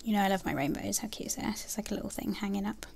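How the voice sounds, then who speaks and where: Soft, gravelly young woman's haul chat. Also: mid-range voice, racing. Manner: soft, gushing, with many ums and likes. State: has a bad cold, nasal and throaty. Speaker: planner sticker haul vlogger. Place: indoors at a table, filming her hands.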